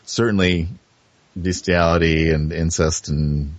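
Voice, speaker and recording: A man chatting casually as an interview guest, halting, offhand and frank. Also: sits low, steady tone, no echo. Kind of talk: casual chat.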